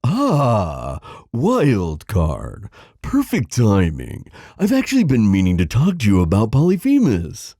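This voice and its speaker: Brooding, worried god, played by a male actor: husky, slow, hugely expressive, halting theatrical delivery. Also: fairly low pitch, no echo, clean studio sound, heavily acted. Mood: anxious, brooding. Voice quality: husky, dark. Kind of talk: audio drama acting.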